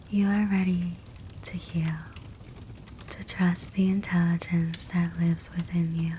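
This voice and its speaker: A woman guiding a sleep meditation in a soft, breathy whisper, gentle and soothing, with measured phrasing and long pauses. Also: lowish voice. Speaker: sleep hypnosis meditation guide. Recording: steady fireplace crackle behind voice. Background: fireplace sounds.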